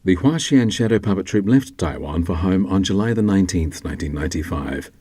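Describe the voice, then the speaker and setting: Low-pitched male documentary narrator. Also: lively intonation, fairly quick, mellow, slightly rough, no room sound. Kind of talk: scripted narration.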